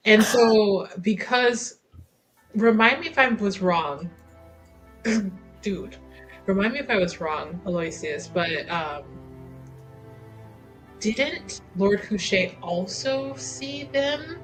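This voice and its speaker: A woman's voice: medium pitch, expressive, slow, a bit bright, faint music behind, poor audio. A woman chatting casually as a player at a tabletop roleplaying game, hesitant and rambling as she thinks aloud.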